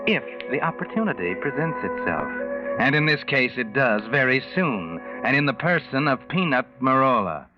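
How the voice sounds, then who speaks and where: An actor playing a sinister, knowing narrator of a 1940s radio mystery, speaking in a measured way. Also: male voice, mid-range voice, sing-song, natural voice. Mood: ominous. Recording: dull old radio recording, loud music behind.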